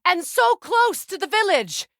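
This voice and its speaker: A woman's voice: extremely high pitch, big pitch swings, measured pace, fairly bright tone, no echo, clean studio sound, full character voice. A woman acting a proud, bitter exiled warrior goddess and grieving mother in a video game, with a stern, curt, imperious manner. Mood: urgent.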